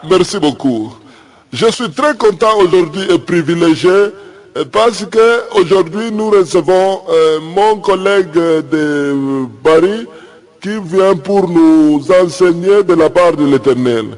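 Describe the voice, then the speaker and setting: Man acting fervent high-voiced host pastor. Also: expressive, a little mellow. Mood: fervent, reverent. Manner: exhortatory, drawn-out solemn phrases. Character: fervent, reverent host pastor introducing a guest. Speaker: pastor presenting a guest preacher. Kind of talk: comic church introduction.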